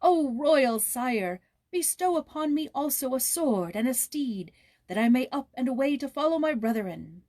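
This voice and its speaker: Woman as a young prince, storybook, earnest, higher voice, formal drawn-out pleading. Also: animated, darkish tone, full character voice.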